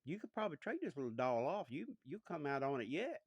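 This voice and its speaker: A man's voice: a little high, big pitch swings, quick pace, breathy edge, no echo, heavily acted. A man voicing a kindly father who coaxes his young son, in an easygoing, persuasive way, with a dry sound. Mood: coaxing.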